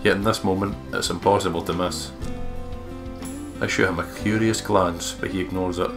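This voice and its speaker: A man's voice: low voice, steady tone, normal speed. A man reading visual novel narration as a let's play host, with casual, jokey asides. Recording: music playing behind the voice.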